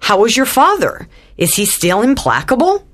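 An actress playing a meddling, romantic middle-aged aunt in a dramatized audiobook, effusive and dramatic. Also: female voice, fairly high pitch, big pitch swings, moderate pace. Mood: inquisitive.

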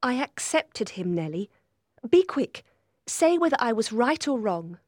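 A woman voicing a spoiled, unhappy young woman who talks softly and pleads for advice in an audiobook reading. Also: a little high, sing-song, unhurried, no room sound, studio quality. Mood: pleading. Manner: soft, pleading, self-absorbed. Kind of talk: audiobook character voice.

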